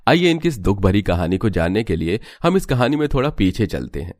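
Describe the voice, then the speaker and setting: Low-pitched male story narrator. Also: very animated, brisk, a little mellow. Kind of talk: dramatic scripted story narration.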